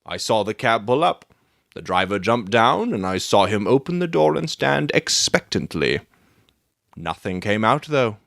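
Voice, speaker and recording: A man playing a brisk, self-assured Victorian detective in a dramatic audiobook, telling things with confidence. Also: lowish voice, sing-song, creaky, a bit bright, no echo, studio quality, exaggerated voice. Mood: wry storytelling.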